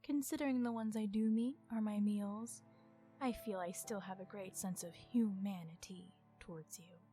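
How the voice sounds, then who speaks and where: A woman voicing a centuries-old vampire in an ASMR roleplay, stern, irritated and possessive, with a measured, formal and often hushed delivery. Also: medium pitch, steady tone, soft background music, natural voice. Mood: stern, composed.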